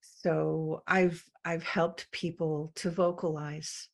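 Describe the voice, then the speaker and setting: A woman from England, a guest in a conversation, speaking reflectively with frequent pauses and ums. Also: low voice, little pitch movement, gravelly. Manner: slow, thoughtful, with many pauses and ums. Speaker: interview guest.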